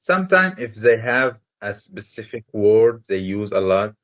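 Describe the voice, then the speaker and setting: Egyptian guy, arabic native, malaysian-influenced english, lowish voice, casual interview answers, kinda dull lo-fi audio. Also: very animated, a little slow. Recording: dull lo-fi sound.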